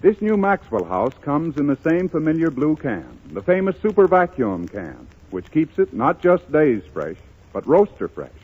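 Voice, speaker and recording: Male radio announcer, animated sales read, husky tone, 1930s style, phone-thin reverberant recording. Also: fairly high pitch, normal speed, phone-quality sound.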